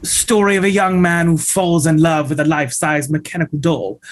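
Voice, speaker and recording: A man's voice: a little high, steady tone, normal speed, lightly acted. An actor playing a vain, flamboyant male ballet dancer telling a gossipy story at a script table read.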